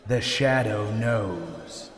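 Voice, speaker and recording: A man acting a mysterious, commanding avenger in a radio drama, speaking deliberately in an ominous, insistent way. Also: fairly low pitch, faint music behind. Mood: menacing, taunting. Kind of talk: radio drama acting in old serial manner. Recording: echoey hall with long reverb.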